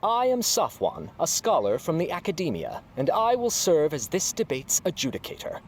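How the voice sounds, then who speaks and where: A man's voice: a little high, huge pitch swings, normal speed, slight background noise. An actor playing a formal male scholar who announces a debate in a video game.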